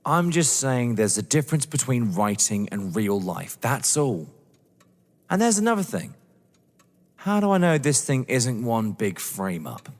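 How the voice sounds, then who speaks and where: A man's voice: medium pitch, very animated, unhurried, a little mellow. Guy doing young brit lover in a radio play, sceptical n cheeky, super swoopy voice, echoey hall. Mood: suspicious, challenging. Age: young adult. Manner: sceptical, blunt, questioning. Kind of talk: theatrical audio drama acting.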